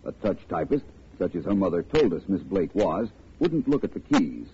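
A man's voice: low voice, big pitch swings, normal speed, warm tone. An American man playing a confident, wry private detective in urbane, precise 1940s radio style. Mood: assured. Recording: tinny, faintly noisy old radio recording.

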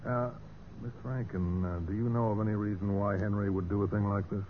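A slightly noisy, narrow-band radio recording features an actor as a methodical male police investigator. His voice sits fairly low, and he questions deliberately and haltingly in old mystery-play style. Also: flat delivery, lightly acted. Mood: probing. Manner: hesitant, measured questioning with drawn-out pauses. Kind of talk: 1940s-50s radio mystery acting.

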